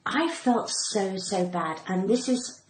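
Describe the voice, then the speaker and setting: A woman's voice: mid-range voice, takes it slowly. An older woman with a cut-glass English accent telling candid anecdotes as an interview guest, dramatically acting out what people said. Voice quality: cut-glass, well-spoken. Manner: dramatic, acting out quoted speech. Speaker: podcast guest, comedian and actor. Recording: roomy echo, faint background noise.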